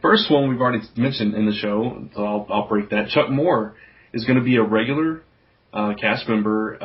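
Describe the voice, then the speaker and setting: A man's voice: fairly low pitch, normal speed, slight background noise. A man hosting a podcast in casual group chat, jovial and given to chuckling as he talks. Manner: jovial, chuckles through sentences. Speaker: podcast host. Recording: dull lo-fi audio.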